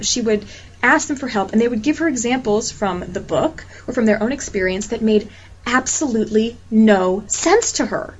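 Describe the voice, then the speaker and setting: A woman who tutors people for quality certification exams, explaining her points earnestly and emphatically with repeated examples. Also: a little high. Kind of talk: explanatory monologue. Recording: slightly muffled lo-fi audio with faint hiss.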